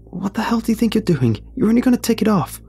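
Horror story role: scared, despairing man, performed by a male narrator, pleading and emotional, voice pushed higher, husky edge. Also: expressive, moderate pace, dark tone, soft background music. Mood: scared, indignant. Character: Sam, frightened, despairing, insecure male friend. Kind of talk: dramatic horror story dialogue.

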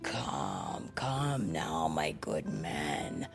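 A woman doing a deep-voiced stern old rabbi. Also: very animated, gravelly, over music, heavily acted. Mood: commanding. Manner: low, slow, commanding. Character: calm wise old male rabbi, stern.

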